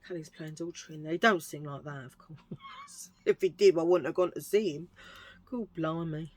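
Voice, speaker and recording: A middle-aged woman with a husky low voice, chatting in a rambling, self-mocking way while showing a thrift haul. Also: huge pitch swings, normal speed, a little mellow. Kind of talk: casual chatty haul video.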